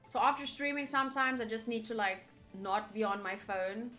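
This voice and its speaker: Woman, game streamer, bright tone, higher pitch, casual chatty delivery, reading aloud. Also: moderate pace. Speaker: streamer playing a visual novel. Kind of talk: casual livestream chat mixed with reading game text aloud. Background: game music.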